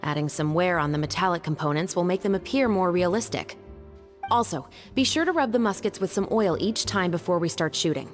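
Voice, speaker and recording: A woman voicing a disciplined, serious officer and weapons expert in an anime-style video game, firm, measured and instructive. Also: on the low side, expressive, soft background music, lightly acted. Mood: appraising, businesslike.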